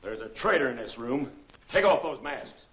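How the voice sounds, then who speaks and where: A man's voice: medium pitch, expressive, moderate pace, fairly bright tone, highs cut off, natural voice. An actor playing a tough, curt gangster henchman in a 1940s film serial. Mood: barking, urgent. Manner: curt, clipped orders and replies. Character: tough gangster henchman, barking at men, deferring to boss. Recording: echoey, faintly noisy 1940s film soundtrack.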